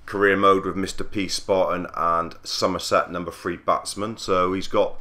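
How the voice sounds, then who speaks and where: Husky man with a cold, bright tone. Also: low-pitched, little pitch movement, normal speed. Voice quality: husky, croaky. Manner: easygoing, understated play-by-play. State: hoarse from a cold. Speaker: gaming channel host. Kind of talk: casual game commentary.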